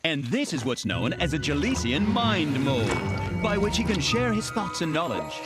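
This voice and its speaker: A smug male cartoon narrator over loud music. He is theatrical and mock-sincere, with a fairly high voice that swings widely in pitch. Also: sing-song, moderate pace. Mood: mock-earnest. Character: smug, wry storybook narrator, adult man.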